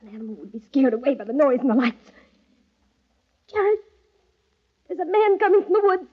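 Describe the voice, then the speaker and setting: An actress playing a fragile, guilt-haunted young wife, pleading and melodramatic in old radio horror style. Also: female voice, sits high, sing-song, fairly quick. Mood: terrified. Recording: muffled old radio recording.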